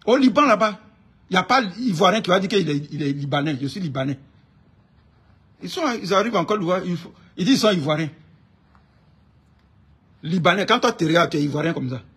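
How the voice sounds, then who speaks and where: A man with an Ivorian accent speaks in a high-pitched, raspy-edged voice. He rants informally about his views in an indignant, emphatic way, often hammering a phrase by repeating it. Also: animated, a little fast, long pauses, a bit bright. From Ivory Coast. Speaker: online political commentator. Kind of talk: informal political rant to camera.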